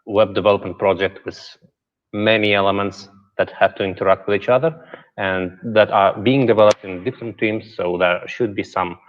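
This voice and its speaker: Man host of an online dev event, fairly low voice, dull lo-fi sound with a long echo. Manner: relaxed, halting, many fillers. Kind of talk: moderating an online conference stream. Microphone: video call. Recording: dull, reverberant stream audio.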